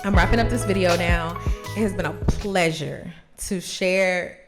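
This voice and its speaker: A woman's voice: on the low side, a little slow, over music. A woman of thirty talking casually to camera as a host, in an encouraging, emphatic and conversational way. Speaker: host of a journaling video series.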